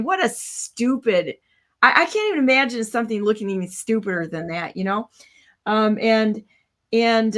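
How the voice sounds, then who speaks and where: A woman's voice: mid-range voice, a bit bright. A woman in her sixties hosting a casual chat, blunt and rambling, full of "you know" and "like". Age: 60s. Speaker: host.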